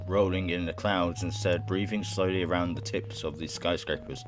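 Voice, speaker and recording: A young man reading a visual novel aloud in a halting way, with casual reactions of his own. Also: deep voice, fairly even intonation, background noise. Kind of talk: let's play, reading game text aloud with casual reactions. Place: indoor room at home.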